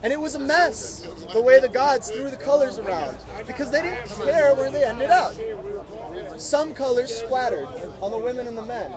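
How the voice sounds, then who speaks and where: A man's voice: very high-pitched, expressive, normal speed. A man reading a story aloud to a live group, in an interactive storytelling style. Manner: prompts listeners to fill in words. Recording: outdoor live mobile stream, music and crowd. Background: music, crowd chatter, street sounds.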